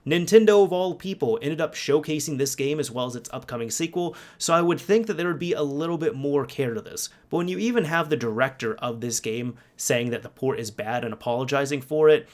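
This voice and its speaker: A man's voice: on the high side, fairly quick, few pauses, a little mellow. A man doing casual, conversational commentary about video games, speaking emphatically like an exasperated fan. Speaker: YouTube game commentator.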